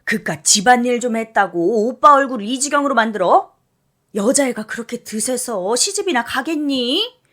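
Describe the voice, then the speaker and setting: A woman putting on a shrill scolding mother. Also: expressive, normal speed. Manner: shrill, loud and scornful. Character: harsh, scolding middle-aged mother favoring her son. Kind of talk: storybook reading with acted voices.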